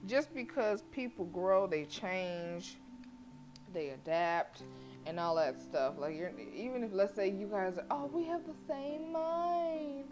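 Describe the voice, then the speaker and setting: A woman's voice: mid-range voice, expressive, slow. A sassy, outspoken woman chatting casually and slangily as she streams a game and reacts to it. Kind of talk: casual livestream commentary, reacting and reading a visual novel aloud. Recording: music behind the voice. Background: plucked guitar game music.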